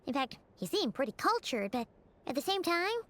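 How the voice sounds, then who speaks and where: A woman voicing a small, excitable, childlike fairy companion in a video game, with a squeaky, expressive voice. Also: moderate pace, a little hiss or hum. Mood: uneasy. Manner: refers to herself in the third person, expressive. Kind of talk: video game character dialogue.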